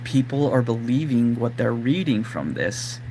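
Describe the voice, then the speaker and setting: A man whose voice is going hoarse, talking casually and rambling to his live-stream audience with plenty of fillers. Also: medium pitch, expressive, a little slow, warm tone, slight background noise, low-quality recording. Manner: rambling, lots of fillers like "like" and "you know". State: losing his voice, throat-clearing. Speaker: livestream host.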